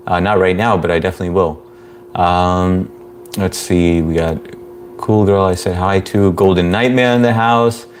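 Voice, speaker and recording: Low-voiced guy doing a chill live stream, flat monotone voice, reads chat out loud, little hum in the background. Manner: laid-back, reads chat aloud, chuckles often. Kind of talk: casual live stream chat reading comments.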